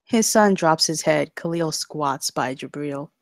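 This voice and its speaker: A woman's voice: low voice, a little slow, no room sound, studio quality. A woman narrating screenplay stage directions at a table read, in a scripted style.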